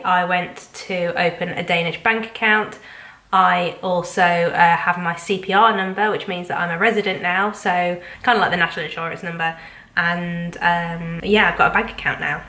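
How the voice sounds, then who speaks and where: Young woman, stuffy nasal cold voice, lowish pitch, chatty vlog, roomy echo. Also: steady tone, unhurried, pushed and bright. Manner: chatty and rambling, many ums and likes. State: congested with a cold. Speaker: vlogger. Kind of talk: casual chat to camera. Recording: echoey room.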